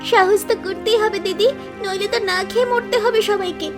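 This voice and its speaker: A woman as a very high-pitched young village wife. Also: steady tone, normal speed, over music. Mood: determined. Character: young village wife, plucky, anxious, hurt. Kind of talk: Bengali cartoon story dialogue.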